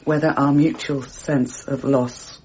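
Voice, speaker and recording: An actress playing a grieving, anxious British landlady in a radio drama, speaking haltingly as she searches for words. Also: rumbling low, fairly even intonation, darkish tone, breathy edge, full character voice. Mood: hesitant, pleading. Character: grieving, anxious landlady, hesitant but insistent. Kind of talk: BBC radio drama acting. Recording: dull, hissy radio broadcast recording.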